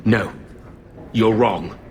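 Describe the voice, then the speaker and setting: A man's voice: lowish voice, very slow, a little hiss or hum. An actor playing an anxious, stubborn man in a fantasy game, worried and insistent. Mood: worried denial. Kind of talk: dramatic game acting.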